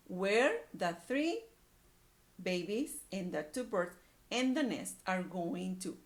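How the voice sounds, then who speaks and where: A woman teacher reading aloud to young children, using simple words, repetition and questions. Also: fairly high pitch. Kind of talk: animated read-aloud lesson.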